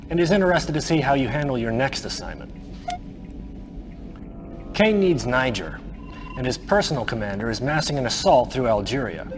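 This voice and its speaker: A man playing a smug, menacing militant officer who briefs a subordinate in a video game, theatrical, deliberate and condescending. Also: mid-range voice, natural voice.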